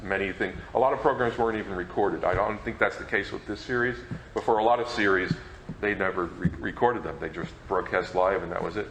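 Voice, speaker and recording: A man's voice: lowish voice, fairly quick. A man explaining informally as a presenter during a question-and-answer session at a talk. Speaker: panel presenter, radio program collector. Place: echoey convention meeting room. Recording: roomy echo with faint noise.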